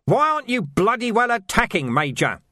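A man's voice: high voice, very animated, a little fast, sharp tone, dry recording, clean studio sound, voice pushed up, exaggerated voice. A man voicing a sneering, menacing male villain who bursts into manic rage. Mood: ranting.

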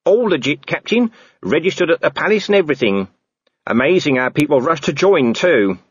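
A sly, scruffy corporal, cocky and offhand, with plenty of smug cheek, as a male storyteller puts him on. The voice is lifted and lively, with a slight huskiness. It is a dry, slightly dull close recording. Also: a little high, moderate pace, breathy edge, no echo, voice pushed up.

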